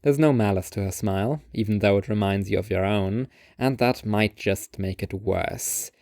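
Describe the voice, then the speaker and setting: A man's voice: on the low side, moderate pace, darkish tone, dry recording, studio quality. A man reading a scripted second-person story as its narrator.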